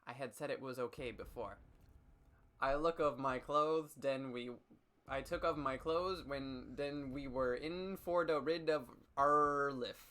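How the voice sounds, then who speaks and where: Bright-voiced man, mock-serious and stumbling. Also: medium pitch, a little slow. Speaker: streamer hosting a dramatic reading. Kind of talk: comedic dramatic reading and casual commentary.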